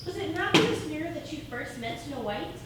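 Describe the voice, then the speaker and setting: A woman's voice: high voice, animated, fairly quick. A woman playing a pointed, indignant defence lawyer in a theatrical fairy-tale courtroom comedy. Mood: probing. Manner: accusatory, pointed. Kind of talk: theatrical stage comedy acting. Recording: distant, very echoey, noisy stage recording.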